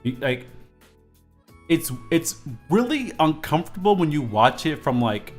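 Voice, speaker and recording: A man's voice: a little high, measured pace. Chatty twenties male streamer, music behind. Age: 20s. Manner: rambling, animated, frequent fillers and restarts. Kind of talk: casual live-stream chat while drawing.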